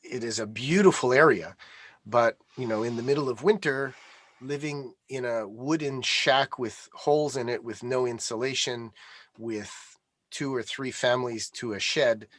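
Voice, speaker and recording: A man's voice: medium pitch, normal speed, fairly bright tone. A middle-aged man, talking as a guest in a conversational interview, hesitant with many restarts. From Canada.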